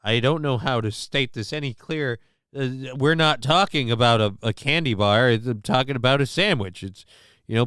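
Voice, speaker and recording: A man's voice: mid-range voice, fairly bright tone, smooth, dry recording, clean studio sound. A man from Minnesota hosting a casual live show, rambling and swearing while he answers the chat, with lots of restarts and fillers.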